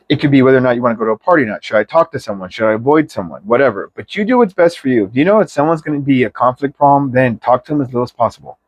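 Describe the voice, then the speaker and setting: Guy doing tarot readings, chatty n slangy pep talk, swears a bit, medium pitch, dry sound no echo. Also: expressive, rapid-fire. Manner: emphatic pep talk, slangy, mildly profane. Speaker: tarot reader.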